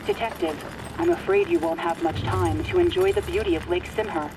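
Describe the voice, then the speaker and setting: An actor playing a formal, earnest mission briefer who addresses a detective in a scripted video game. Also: male voice, high voice, big pitch swings, a little fast, creaky, background noise, exaggerated voice. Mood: businesslike. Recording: loud music over the voice.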